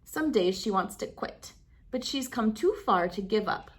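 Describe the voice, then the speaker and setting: Woman, medium pitch, expressive read-aloud host, picture-book narration, deliberate emphatic phrasing.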